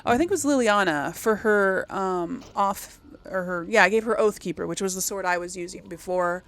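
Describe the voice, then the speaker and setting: Woman rambling casually, faint creak. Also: medium pitch, normal speed, a bit bright. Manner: rambling, thinks aloud and self-corrects. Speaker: gaming video host. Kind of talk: casual chat, let's play commentary.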